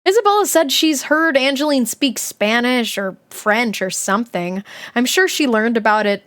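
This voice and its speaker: Woman voicing a snarky middle school diary girl, high-pitched. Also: lively intonation, normal speed, fairly bright tone. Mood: jealous, snide. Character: sarcastic, jealous middle school girl writing her diary. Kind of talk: dramatized diary reading.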